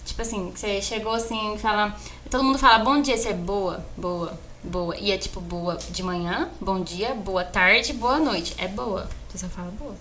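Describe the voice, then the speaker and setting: A Brazilian woman shares personal stories in a relaxed podcast conversation. Her voice sits at a middle pitch, and she tells things in an animated way, repeating words to stress them. There is some ambient noise behind her. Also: normal speed. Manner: animated storyteller who acts out exchanges and repeats words for emphasis. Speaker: podcast co-host and architect. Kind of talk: casual conversation, personal storytelling.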